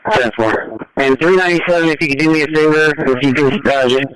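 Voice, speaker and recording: A man's voice: a little high, a little fast, fairly bright tone, low-quality recording. A man working as a train dispatcher, giving procedural instructions courteously over a two-way radio. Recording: transit radio dispatch audio.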